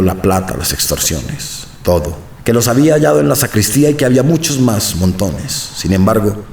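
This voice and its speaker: A man narrating a radio drama in the first person, calm and reflective. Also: on the low side, a little hiss or hum. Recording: roomy, slightly distant mic.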